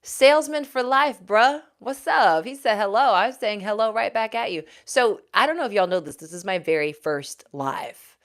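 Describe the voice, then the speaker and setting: A woman's voice: medium pitch, lively intonation, moderate pace, fairly bright tone. A woman in her forties from Texas, a former news anchor, chatting casually and reading out viewer comments on her livestream. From Houston, Texas. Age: 40s. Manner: chatty, reads out viewer comments, laughs often. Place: home.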